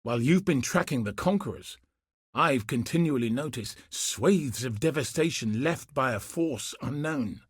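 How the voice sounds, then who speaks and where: A grim, battle-seasoned military commander from a video game. His voice is husky and sits at an ordinary male pitch, recorded very dry. He speaks with solemn, earnest resolve, like someone bound by duty. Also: expressive, moderate pace, dry recording. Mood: concerned, grave. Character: grim, dutiful male soldier commander hunting cosmic threats. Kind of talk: scripted video game character dialogue.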